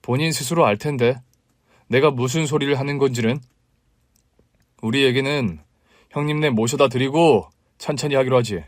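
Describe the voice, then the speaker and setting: A man playing a protective younger brother-in-law in a spoken story, forceful and commanding, shouting when angered. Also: medium pitch, normal speed, long pauses, no echo, clean studio sound, natural voice. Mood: furious.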